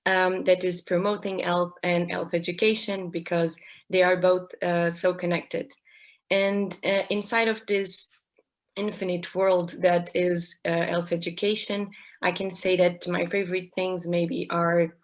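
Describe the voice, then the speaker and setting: Young female guest, health educator, halting delivery, low and even. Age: young adult. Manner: hesitant, many fillers and restarts. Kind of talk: casual interview talk.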